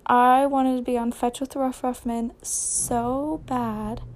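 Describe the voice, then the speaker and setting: High-pitched, slow-talking young American woman. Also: flat delivery, slight background noise. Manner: informal, self-questioning, trailing off and correcting herself. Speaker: solo podcast host. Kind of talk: casual rambling podcast chat.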